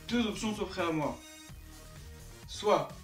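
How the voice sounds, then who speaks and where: A high school student in his late teens who interviews classmates and narrates his own film in a relaxed, wry way. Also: takes it slowly. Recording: echoey, distant, faint music behind.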